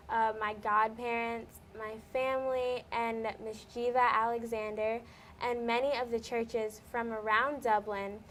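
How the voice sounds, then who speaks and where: A teenage high school girl answers interview questions in a higher voice. She is polite and giggles between answers. A faint hiss sits under the recording. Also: a bit bright. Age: teens.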